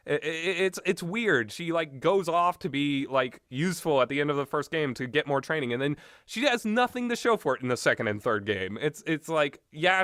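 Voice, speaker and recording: A man's voice: on the high side, fairly bright tone, dry recording, studio quality. Blunt, rambling man with opinions. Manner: blunt, exasperated, sweary, halting. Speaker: video game reviewer. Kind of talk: rambling, opinionated casual commentary.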